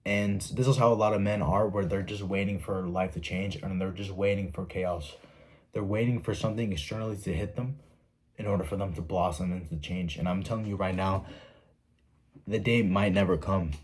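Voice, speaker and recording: A man's voice: low voice, steady tone, moderate pace, a little mellow. A young man giving blunt, profane self-help advice to his viewers in a casual monologue, stopping often to ask "right?".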